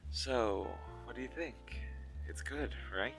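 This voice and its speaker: A man's voice: mid-range voice, slow, music in the background, rough recording. A man playing a shy, smitten game developer on a date in a romantic audio roleplay, speaking nervously and hesitantly straight to the listener. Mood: flustered, playful. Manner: awkward, nervous, hesitant and stammering.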